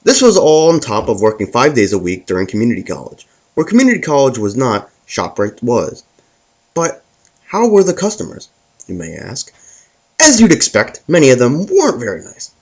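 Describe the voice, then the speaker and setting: A man narrates a scripted comic story as its host. His mid-pitched voice swings up and down in an animated way, and he trips over and repeats words as he reads. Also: moderate pace. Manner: stumbles and repeats words while reading.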